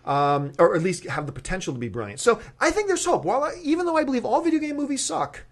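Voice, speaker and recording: A man with a somewhat high voice hosts a casual movie discussion. His intonation swings widely as he argues his opinions. He leans on key words and repeats them for emphasis. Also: very animated, fast talker. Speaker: talk show host.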